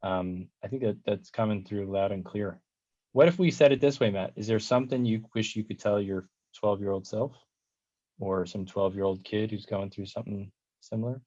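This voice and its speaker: An American man with a fairly low voice hosts a conversational interview. He is encouraging, often rephrasing and affirming. The recording is somewhat muffled and lo-fi. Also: normal speed, warm tone, no room sound. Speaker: podcast host, speech-language pathologist.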